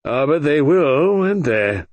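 A man voicing a gloomy, sleepy old heavyset colonel in an audiobook, who speaks in a slow, wheezy drawl. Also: on the high side, big pitch swings, pitched above the performer's own voice. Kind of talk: audiobook character voice.

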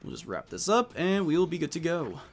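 Higher-voiced man casually narrating a game. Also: sing-song, moderate pace, soft background music. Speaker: let's play narrator. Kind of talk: casual game commentary, reading dialogue aloud.

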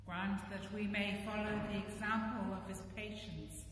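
Woman priest, solemn and unhurried, recorded at a distance in a reverberant church with some noise. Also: medium pitch, level tone, fairly bright tone. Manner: measured, solemn, phrase by phrase. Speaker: priest leading a church service. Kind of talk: formal liturgical prayer reading. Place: inside a stone church.

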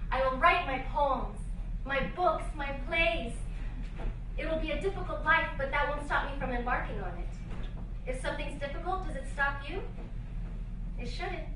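A woman's voice: high-pitched, normal speed, pauses often, close to an everyday voice. An actress on stage playing an eager, bookish young Mexican woman in a historical play, projecting dramatically. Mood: determined. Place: small theater stage with seated audience. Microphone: far camera. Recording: distant echoey theater recording with faint noise.

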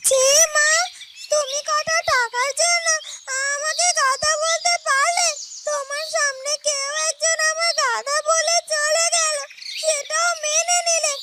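A woman voicing a shrill, whiny young female character in a cartoon, given to exclaiming. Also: steady tone, slow, heavy background noise. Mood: indignant, hurt. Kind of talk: cartoon dubbing.

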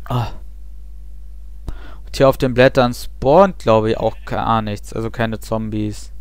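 A man's voice: on the low side, steady tone, slow, smooth, no room sound. A young man chatting casually and rambling with self-mocking asides while he plays a game. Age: young adult. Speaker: let's play host. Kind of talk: casual gameplay commentary.

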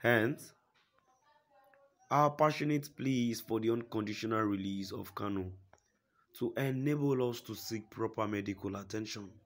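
Male news reader, low-ish voice, steady formal delivery. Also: moderate pace. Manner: steady formal reading, quotes a statement at length. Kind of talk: read-aloud news report.

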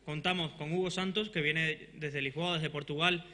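A man in his thirties with a Cuban Spanish accent, introducing a conference panel from a lectern with brisk speech and frequent fillers. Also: on the high side, steady tone, bright, forceful tone. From Cuba. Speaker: event host introducing a panel. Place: conference hall, standing at a lectern. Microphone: lectern microphone.

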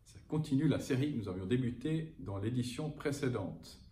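Middle-aged guy hosting the news, warm tone, echoey room, mic kinda far. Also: medium pitch, animated, normal speed. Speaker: host. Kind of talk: news presenter intro. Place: indoors by a window over an airport. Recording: roomy, from a distance, faint noise.

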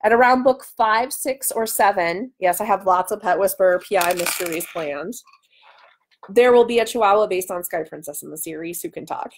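A woman's voice: mid-range voice, moderate pace, slight background noise, low-quality recording. A woman in her thirties chatting casually and playfully as she hosts a livestream from home on a webcam. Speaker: author hosting a livestream. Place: small room at home, indoors. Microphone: webcam at arm's length.

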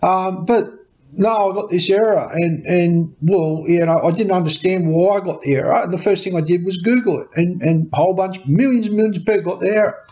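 A middle-aged man with a fairly high voice, nursing a cold, chatting loosely to a webcam. He stumbles and repeats words as he explains. The sound is dull and lo-fi, recorded in a small cluttered workshop room. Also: brisk. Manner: chatty, repeats words, animated hand gestures. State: has a cold. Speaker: tech vlogger. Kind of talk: casual rambling explanation to camera. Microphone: webcam at desk.

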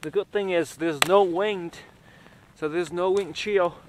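Male camping vlogger, slightly high voice, halting casual delivery, recorded outside with clear background noise. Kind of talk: casual talk to camera while working. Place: outdoors in snow by a tent. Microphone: camera a few steps away. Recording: noisy outdoor recording, clicks and handling sounds.

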